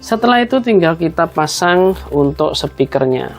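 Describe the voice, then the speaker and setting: A man's voice: on the high side, expressive, normal speed, soft background music. A man explains a hands-on job step by step in a casual, conversational way, addressing viewers as friends. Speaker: host of a tutorial video.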